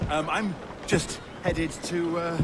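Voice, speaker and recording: A man's voice: fairly high pitch, fairly even intonation, slow pace, noisy background. A man playing a genteel, nervously chatty merchant in a period video game, with an effusive, affected politeness, music behind him.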